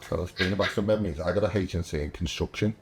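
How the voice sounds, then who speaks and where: A man in his thirties hosting a podcast, chatting in a relaxed, agreeable way with frequent affirmations. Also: deep voice, very fast, background noise. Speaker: podcast host. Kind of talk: casual podcast conversation.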